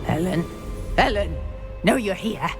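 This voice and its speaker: A woman's voice: fairly low pitch, animated, unhurried. An actress playing a broken, half-mad woman prisoner who chants in rhymes and repeats her words. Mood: unhinged. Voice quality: sing-song, rhyming and repeating words. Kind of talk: video game voice acting. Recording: game audio with music behind.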